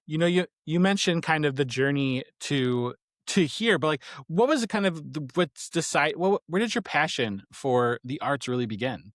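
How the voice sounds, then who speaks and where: A man's voice: fairly high pitch, lively intonation, moderate pace, hardly any pauses, dry recording, studio quality. A man hosting a podcast interview, a psychologist by trade, asking questions in a friendly, affirming way. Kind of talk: casual interview. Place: podcast studio.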